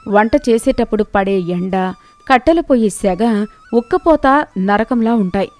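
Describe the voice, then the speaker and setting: A woman storyteller narrates a scripted moral tale. Her voice is on the high side, and the recording is close and dry. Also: lively intonation, unhurried, darkish tone, dry recording, soft background music.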